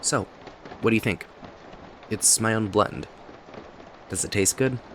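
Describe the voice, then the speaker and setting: Low-voiced, rambling friendly barista played by a man. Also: a little slow. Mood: chatty, upbeat. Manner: rambling, hesitant, apologetic, friendly. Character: talkative, kindly young male cafe owner and barista. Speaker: voice actor in an audio roleplay. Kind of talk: ASMR roleplay, conversational acting to the listener. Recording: steady rain noise behind voice. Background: rain and cafe ambience.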